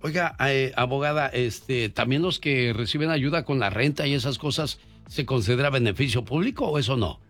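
A man hosts a language radio talk show in an even, middle-pitched voice. He jokes with his guest and reads out the call-in number twice. Faint music plays under his voice. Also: moderate pace, hardly any pauses. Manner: jokey, then reads out a phone number twice.

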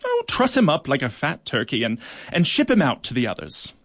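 A man's voice: a little high, huge pitch swings, brisk, a bit bright, dry recording, clean studio sound, heavily acted. A commanding female ant queen in a read-aloud story, barking and crowing her orders. The voice is lifted a little above a man's own. A man puts her on.

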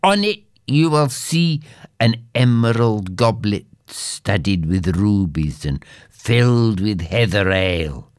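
A woman's voice: a bass voice, animated, slow pace, darkish tone, no echo, clean studio sound, exaggerated voice. A woman doing a whispery wailing goblin. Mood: grave warning. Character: small wailing goblin man, once mortal, bewitched. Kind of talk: storybook reading.

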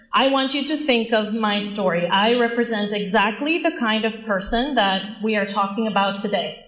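A woman in her forties from the Dominican Republic, giving an earnest, personal presentation as a nonprofit leader. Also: mid-range voice. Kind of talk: presentation talk. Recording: echoey room.

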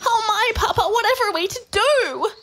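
A woman's voice: extremely high pitch, expressive, moderate pace, a bit bright, slight background noise, voice pushed up. A woman voicing a fussy, motherly mama koala with a whiny, cooing manner in a children's story. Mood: worried. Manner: whining, cooing.

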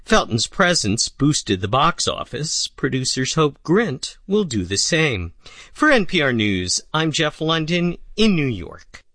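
A man's voice: a little high, sing-song, measured pace, bright, forceful tone, no room sound, clean studio sound. A man with an American accent delivering a scripted radio news report.

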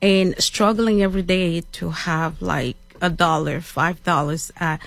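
A middle-aged woman with a Cameroonian accent, speaking calmly and in a measured way as an interview guest. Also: lowish voice, creaky, no room sound. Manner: calm, measured. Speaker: interview guest, business owner. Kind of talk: conversational interview.

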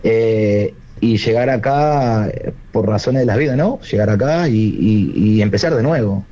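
Argentine man, low mellow voice, hesitant phone guest, muffled line. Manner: hesitant, halting, with many fillers and restarts. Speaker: guest songwriter, plumber. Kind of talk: phone interview.

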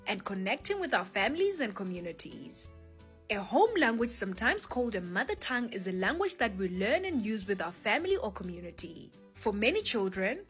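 A woman's voice: medium pitch, fairly bright tone. A South African woman hosting a children's show, giving a scripted lesson and addressing listeners as friends. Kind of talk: scripted educational presenting for children.

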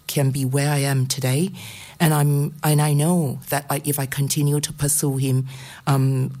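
Middle-aged singapore-born woman, really low voice, lots of ums, sharing her faith story. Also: mellow. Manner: hesitant, many ums and restarts. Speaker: guest giving testimony. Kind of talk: personal faith testimony on radio.

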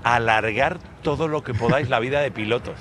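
A man's voice: mid-range voice, a little fast, slight background noise. A man commentating on motorsport for the radio, telling jokey stories in casual banter. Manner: jokey, animated storyteller. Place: team garage at a racetrack.

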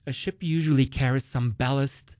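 A man's voice: mid-range voice, steady tone, moderate pace, a little mellow, dry recording, studio quality. Romanian-accented man explaining investments. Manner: uses many "like" and "you know" fillers, explains with analogies. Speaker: investment guest. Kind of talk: casual explanatory conversation.

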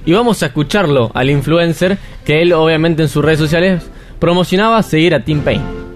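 An Argentine man tells a news story in a chatty, explanatory way, his voice sitting a little high. Faint music plays behind him. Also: normal speed. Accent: Argentine Spanish. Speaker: radio segment reporter. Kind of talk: casual radio chat.

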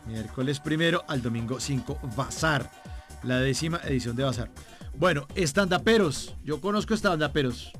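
A man's voice: on the high side, animated, normal speed, a bit bright, soft background music. A man with a Bogotá Colombian accent hosting a casual interview in a jovial, colloquial way, repeating phrases for emphasis. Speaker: radio show host interviewing a guest. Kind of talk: casual, chatty broadcast interview.

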